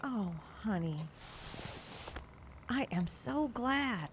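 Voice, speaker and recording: A woman's voice: on the high side, expressive, extremely slow, faint music behind, exaggerated voice. An actress playing a cheery, doting older mother in an audio drama, with a folksy, effusive manner. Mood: cheery, delighted. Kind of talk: naturalistic audio drama acting.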